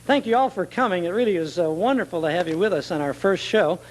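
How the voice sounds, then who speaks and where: A man's voice: high-pitched, lively intonation, fast talker, a little hiss or hum, poor audio. An American man hosting a television entertainment show, chatting with guests and addressing viewers in an upbeat way. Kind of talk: upbeat interview and show sign-off.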